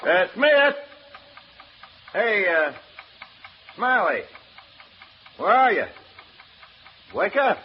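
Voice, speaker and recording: A man's voice: high-pitched, big pitch swings, extremely slow, long pauses, heavily acted. Folksy old-radio salesman, swoops up and down, colloquial patter, muffled noisy recording. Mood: calling out. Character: folksy, cheerfully persuasive male real estate agent. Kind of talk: 1940s radio drama acting.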